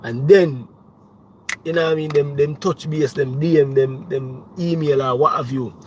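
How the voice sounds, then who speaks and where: A man's voice: fairly high pitch, steady tone, moderate pace, slight background noise. A young Jamaican man, a music producer, talking casually on a livestream, rambling with many restarts and "you know what I mean.".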